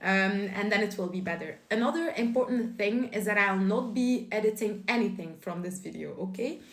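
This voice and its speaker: A woman's voice: mid-range voice, normal speed, roomy sound. A young woman from Belgium whose first language is Dutch talks in English in a casual, giggly, apologetic chat to camera. She records on her phone. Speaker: vlogger.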